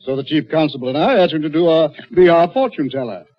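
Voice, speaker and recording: An actor in an old radio drama playing a hearty, bossy older British army major, jovial and blustering. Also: male voice, fairly high pitch, fast talker, lightly acted. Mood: confiding. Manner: jovial, blustering, avuncular, old-fashioned phrasing. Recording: dull lo-fi old radio recording.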